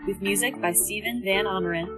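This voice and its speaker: Mid-pitched woman announcer over loud music. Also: a little fast. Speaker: credits announcer. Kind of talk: scripted credits reading.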